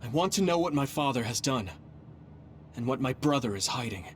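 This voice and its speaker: An actor playing an earnest, idealistic young platoon commander in an English game dub, with a polite, sincere way of talking. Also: male voice, medium pitch, expressive, fairly quick, slight background noise, natural voice. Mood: earnest, urgent. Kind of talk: English-dubbed video game cutscene acting. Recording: music behind the voice.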